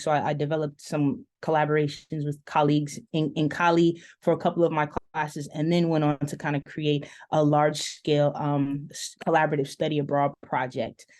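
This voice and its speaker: A woman with a low, deep speaking voice gives panel remarks over a video call. She pauses often with "um" and draws out key words as she thinks aloud. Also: darkish tone. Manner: thoughtful, many "um" pauses, stretches key words. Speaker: panelist, sociology professor.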